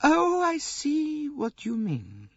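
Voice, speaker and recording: A man voicing an indomitable old Russian princess, haughty, cold and contemptuous, speaking with slow deliberation in an audiobook. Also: extremely high pitch, wildly swooping pitch, fairly bright tone, no room sound, muffled recording, pitched above the performer's own voice, full character voice. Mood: contemptuous, defiant.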